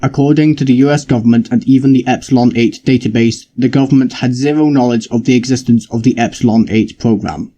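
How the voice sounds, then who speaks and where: A man playing a serious, formal news presenter reading a scripted report in a measured manner. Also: medium pitch, steady tone, warm tone, natural voice. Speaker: news correspondent.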